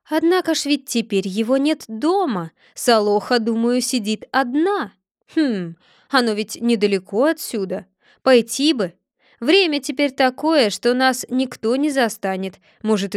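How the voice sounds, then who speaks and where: A woman's voice: fairly high pitch, no room sound, studio quality. A woman voicing a sly, stubborn middle-aged Cossack man who mutters indignantly to himself.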